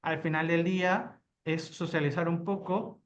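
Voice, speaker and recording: A man's voice: a little high, fairly even intonation, measured pace. Man in a dull lo-fi webinar feed. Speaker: tech cluster leader, panelist. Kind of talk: webinar panel discussion.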